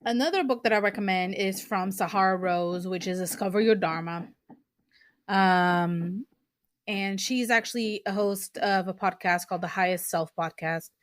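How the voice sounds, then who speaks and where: A woman's voice: mid-range voice, moderate pace, no room sound, studio quality. A young woman from Portugal, hosting her own podcast and talking earnestly about books, with fillers and restarts along the way. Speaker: podcast host. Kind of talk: casual podcast talk recommending books.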